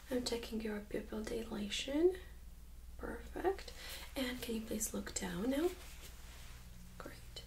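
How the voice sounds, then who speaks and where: A woman's voice: fairly high pitch, a little hiss or hum, rough recording. Whispering young woman, ASMR medical exam. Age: young adult. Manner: soft-spoken, mostly whispering, gently instructing. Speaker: ASMR creator playing a medical examiner. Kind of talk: ASMR medical role-play. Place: small indoor room set up as exam room.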